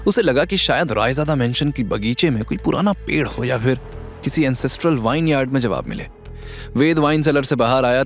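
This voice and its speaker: A man narrating an audio drama in a steady, scripted storytelling style. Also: mid-range voice. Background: faint music.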